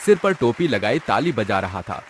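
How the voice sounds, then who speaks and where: A man's voice: medium pitch, sing-song, normal speed, fairly bright tone, slight background noise. A man narrating a scripted horror story as a dramatic storyteller.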